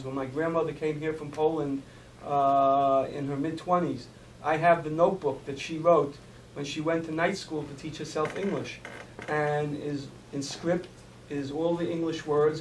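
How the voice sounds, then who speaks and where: A man with a Brooklyn accent, a judge telling anecdotes as a forum panelist. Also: fairly high pitch. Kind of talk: anecdotal remarks to an audience. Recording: roomy, distant mic, faint noise.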